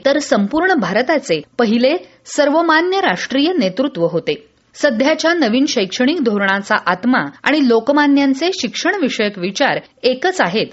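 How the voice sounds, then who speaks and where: A woman from presenting a scripted radio newsreel in a formal, measured way. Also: high voice, lo-fi sound. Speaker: news presenter.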